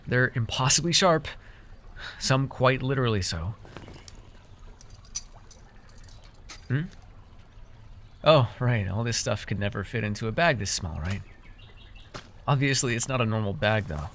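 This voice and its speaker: A man's voice: mid-range voice, normal speed, pauses often, a bit bright, a little hiss or hum, lightly acted. A man playing an easily flustered, teasing but caring fae forest guide in a fantasy roleplay, with exasperated banter. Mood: calm, caring. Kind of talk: immersive fantasy roleplay ASMR acting.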